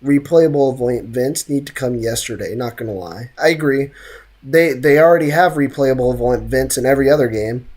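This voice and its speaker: A woman's voice: rumbling low, a little fast, a little mellow. A woman streaming a game, chatting casually and trading slangy, joking banter with viewers. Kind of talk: casual livestream chat and game commentary.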